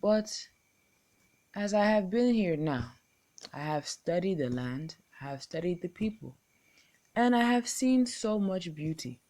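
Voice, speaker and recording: A young woman with an American accent speaks in slow, measured phrases, lingering on each line as she recites. Her voice sits on the low side and has a mellow, warm tone. She paces herself with care and never hurries. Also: expressive. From United States. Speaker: contest entrant. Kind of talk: recorded poetry recital and appeal.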